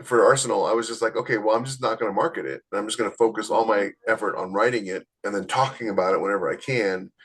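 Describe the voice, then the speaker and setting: A man co-hosting a podcast in casual conversation, chatty, with quick interjections and emphatic repeats. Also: fairly low pitch, a bit bright, slightly rough. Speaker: author and podcast co-host.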